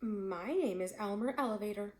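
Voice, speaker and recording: A woman voicing a polite, quick-thinking little boy in a storybook, speaking earnestly. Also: mid-range voice, natural voice. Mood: plain. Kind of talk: storybook character voice. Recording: echoey room.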